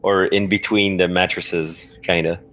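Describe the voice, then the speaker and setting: A man talking casually as a player in a story game, thinking aloud in a halting, hesitant way. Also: low voice, level tone, a bit bright. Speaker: podcast guest playing a text adventure.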